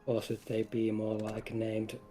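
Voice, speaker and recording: A man's voice: fairly low pitch, completely flat delivery, unhurried, mellow, faint music behind. A man speaking casually and a little hesitantly as a game developer guest in an interview. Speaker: game developer, lead designer, stream guest.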